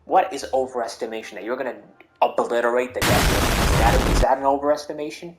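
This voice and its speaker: A man's voice: medium pitch, fast talker. A man doing casual game commentary, slangy and teasing, repeating phrases for emphasis as he reacts. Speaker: gaming streamer. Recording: commentary mixed with game music.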